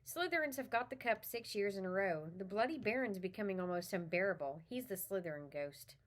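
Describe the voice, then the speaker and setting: A woman's voice: medium pitch, lively intonation, a little fast, natural voice. A woman reading the part of a polite, touchy old ghost knight in a storybook, with a stiff, formal manner. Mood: proud, chatty.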